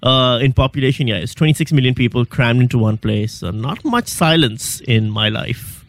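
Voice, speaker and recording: A man's voice: medium pitch, lively intonation, moderate pace, no echo. A man in his late thirties from Pakistan, a guest comedian being interviewed, talking in a wry, self-mocking way with lots of ums. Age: 30s.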